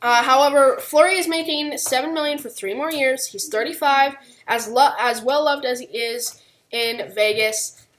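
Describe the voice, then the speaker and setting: A woman's voice: sits high, normal speed, a bit bright, a little hiss or hum. A woman co-hosting a podcast, talking through unscripted sports analysis. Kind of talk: unscripted hockey analysis discussion.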